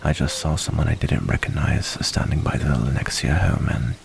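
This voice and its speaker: Casting: man to play mysterious soft-mannered stranger, very deep creaky voice, soothing and curious, ASMR audio drama. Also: fairly even intonation, moderate pace, slight background noise, exaggerated voice. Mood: soothing, apologetic. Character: gentle, curious male stranger lurking near the mansion. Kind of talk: ASMR roleplay acting.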